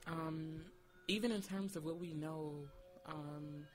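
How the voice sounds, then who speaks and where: A man's voice: on the high side, steady tone, slow pace. A man speaking earnestly and reflectively in conversation, feeling out his phrasing with frequent filler phrases. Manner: hesitant, thoughtful phrasing with frequent "you know". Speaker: doula and discussion participant.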